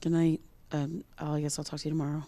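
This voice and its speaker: Sleepless, anxious young woman, audio drama diary. Low register, naturalistic, hesitant and rambling delivery. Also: level tone, fast, mellow. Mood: hesitant, apologetic. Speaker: lead actor in a fiction podcast.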